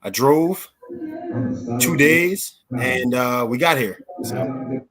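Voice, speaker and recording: A man's voice: mid-range voice, very slow, a crowd in the background. An American man in his thirties from Philadelphia, telling his own story conversationally as an interview guest. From Philadelphia, United States. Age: 30s.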